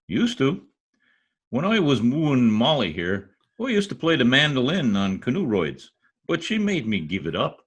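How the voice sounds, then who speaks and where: Radio comedy husband, played by a man: cheerful folksy braggart, husky, swooping delivery. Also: mid-range voice, huge pitch swings, unhurried, full character voice. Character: boastful wisecracking husband, cheerful blowhard. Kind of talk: old-time radio comedy acting. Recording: home video-call recording.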